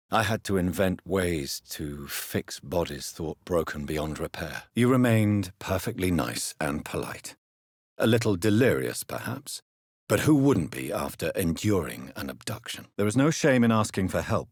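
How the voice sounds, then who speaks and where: A man playing a grave, educated gentleman doctor and vampire in a video game, speaking formally and precisely, courteous but stern, in a husky low voice. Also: very animated, a little slow, dry recording, studio quality, full character voice. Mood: composed, courteous. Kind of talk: scripted video game character dialogue.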